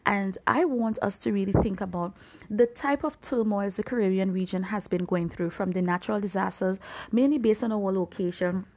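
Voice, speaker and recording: Husky Trinidadian woman preaching. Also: mid-range voice, normal speed, a little mellow. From Trinidad and Tobago. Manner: earnest, emphatic, exhortative. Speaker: preacher, podcast host. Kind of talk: informal sermon, Bible teaching.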